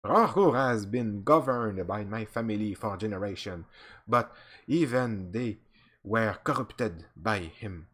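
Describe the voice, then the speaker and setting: A man voicing a stern male alien warrior admiral from a game, reading the lines a little stiffly with husky tone. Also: lowish voice, unhurried, a bit bright. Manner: reads stiffly with slips.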